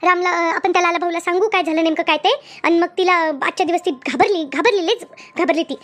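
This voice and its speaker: A woman voicing an excitable, easily frightened village woman in a cartoon comedy, with a rural Maharashtrian accent and a chattery, repetitive way of talking. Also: very high-pitched, fast talker. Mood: worried. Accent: rural Maharashtrian Marathi.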